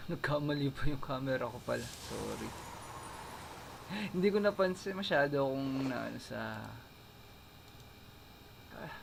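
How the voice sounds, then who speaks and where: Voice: medium pitch, expressive, normal speed, vocal fry, pauses often, background noise. A gaming streamer from the Philippines with a Filipino accent, a native Tagalog speaker, chatting casually and muttering during play. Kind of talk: casual gameplay chat, mixing two languages.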